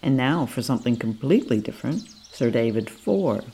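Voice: mid-range voice, lively intonation, brisk, warm tone, breathy edge. A presenter giving scripted narration that introduces a series of auditions.

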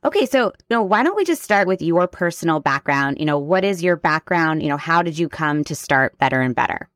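A woman's voice: sits low, sing-song, fairly quick, fairly bright tone, dry recording, clean studio sound. A woman hosting a business podcast, welcoming her guest in an upbeat voice and asking questions casually with plenty of "you know". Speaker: podcast host. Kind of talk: scripted intro, then casual interview.

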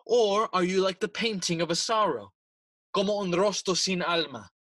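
Husky, scheming king. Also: high voice, a bit bright, dry recording, clean studio sound. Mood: goading, conspiratorial. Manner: theatrical, persuasive, deliberate. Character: scheming, smooth-talking king plotting murder. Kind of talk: bilingual Shakespearean audio play acting.

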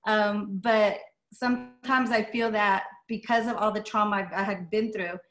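A woman's voice: medium pitch, steady tone, normal speed, fairly bright tone, soft background music. Woman guest speaker, middle-aged, first language Spanish, casual, hesitant storytelling. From Las Vegas, USA. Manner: casual, many ums, light laughs. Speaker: guest speaker, dance studio owner. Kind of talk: personal story talk.

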